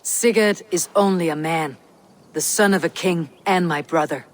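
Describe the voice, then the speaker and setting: A woman's voice: fairly low pitch, moderate pace, lightly acted. An actress playing a proud, stubborn Viking warrior woman in a video game cutscene, speaking firmly and bluntly. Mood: skeptical.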